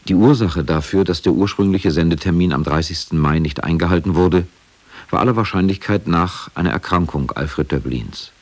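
A man reading scripted factual narration for a radio documentary in a measured, sober manner. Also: deep voice.

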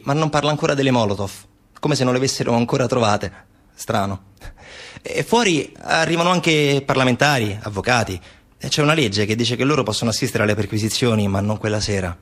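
A husky-voiced man of middling pitch delivers a dramatic stage monologue. He narrates emphatically, leaning on repeated, chant-like phrases as a theatrical storyteller. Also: brisk, a bit bright. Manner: emphatic, repetitive incantatory phrasing.

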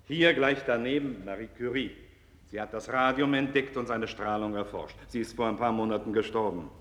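An actor playing a disillusioned, blunt senior professor and mentor in a 1960s German radio play, with a wry, didactic delivery. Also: male voice, on the low side, normal speed, a bit bright. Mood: didactic, solemn.

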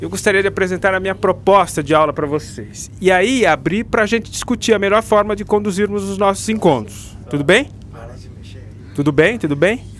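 A man's voice: sits high, a little fast, natural voice. A man playing a patient, engaging literature teacher in a Brazilian radio drama, coaxing and explaining as he goes. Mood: firm, earnest. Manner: didactic, coaxing. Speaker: actor.

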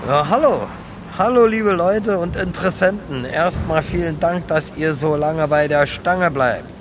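A man's voice: on the high side, measured pace, close to an everyday voice. An actor playing a hapless, guileless homeless man and petty thief in a naturalistic radio drama, talking in a plain, naive way. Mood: proud, pleased. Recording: noisy outdoor street with traffic.

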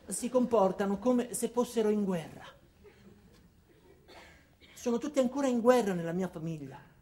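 An actor playing an anxious, paranoid ordinary man, telling his story in a wry, deadpan theatrical monologue. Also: sits high, moderate pace. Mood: wry, resigned. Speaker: actor performing a comic monologue. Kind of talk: theatrical first-person comic storytelling.

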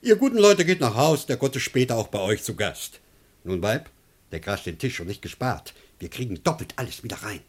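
An actor playing a shrewd, hearty, bossy householder in a theatrical German radio comedy. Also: male voice, medium pitch, sing-song, brisk, slight background noise, close to an everyday voice. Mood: hearty, commanding.

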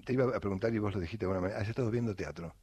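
Argentine male interviewer, lowish voice. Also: rapid-fire, a little mellow, slightly rough. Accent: Argentine, Rioplatense. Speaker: interviewer, radio host. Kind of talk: casual conversational interviewing.

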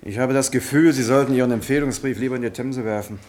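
A man's voice: medium pitch, quick pace, a little mellow, lightly acted. An actor playing a worldly, loyal travelling companion in a German radio play, wry and familiar as he gives advice. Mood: concerned. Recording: 1970s radio drama with clear background noise.

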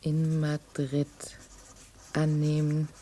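An actress playing a subdued woman voicing her letter haltingly, phrase by phrase, in a radio drama. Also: sits low, completely flat delivery. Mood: subdued, deliberate. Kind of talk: German radio drama acting.

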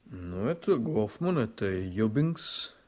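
An actor playing a confident, ambitious politician in a Russian radio drama, speaking in a wry, emphatic way. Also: male voice, lowish voice, sing-song, slow, darkish tone. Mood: guarded, confident. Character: blunt, ambitious male politician, confident and sardonic.

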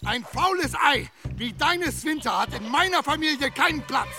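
A man playing a spiteful, hot-tempered village goat farmer in an audio drama, loud, scornful and foul-mouthed. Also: very high voice, expressive, normal speed, music in the background. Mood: gloating scorn.

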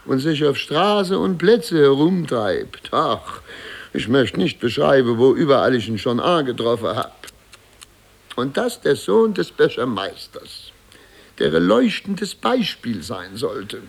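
A man's voice: mid-range voice, sing-song, takes it slowly, gravelly, full character voice. An actor in a 1950s radio play, voicing an aggrieved small-town mayor, a worried father who laments in scandalised tones. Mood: indignant, lamenting. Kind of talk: 1950s German radio drama acting.

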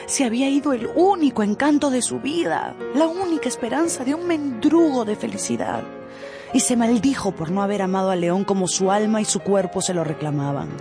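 A woman's voice: medium pitch, normal speed. Woman narrating a radio novel over music. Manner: expressive, storytelling.

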